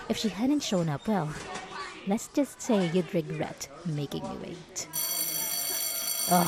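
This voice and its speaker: Woman playing a slow-talking, possessive schoolgirl bully. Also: low voice. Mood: menacing, annoyed. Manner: taunting, sarcastic, switching to sugary false politeness. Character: possessive, jealous schoolgirl bully, mocking and threatening. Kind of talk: roleplay audio drama spoken to the listener.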